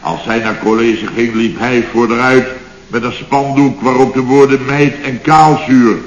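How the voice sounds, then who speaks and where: A man's voice: mid-range voice, unhurried, slight background noise, natural voice. Exasperated wisecracking boss played by a man, emphatic repeats, radio comedy; dull, roomy vintage recording. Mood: sardonic storytelling. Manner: sardonic, repeats words in emphatic bursts. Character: exasperated, wisecracking male boss of a building firm. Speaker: boss of a building contractor's firm.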